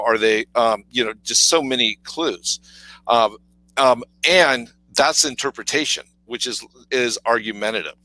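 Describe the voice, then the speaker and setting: Male podcast co-host, deep authoritative tone, casual storytelling with many fillers, dry recording. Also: sharp tone, no room sound, poor audio. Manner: storytelling, acts out quoted voices, many fillers. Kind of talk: casual conversational coaching talk.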